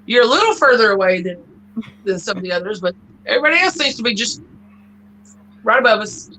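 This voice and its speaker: A woman's voice: fairly high pitch, expressive, brisk, bright, forceful tone. A woman with a Southern US accent chatting casually as co-host on a group live stream. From Texas, US. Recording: clearly noisy home stream audio.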